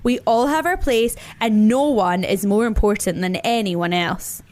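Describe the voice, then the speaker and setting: A woman voicing a big, sensible male brown bear in a children's story, speaking slowly and emphatically. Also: medium pitch, a little mellow, close to an everyday voice. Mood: amused, firm.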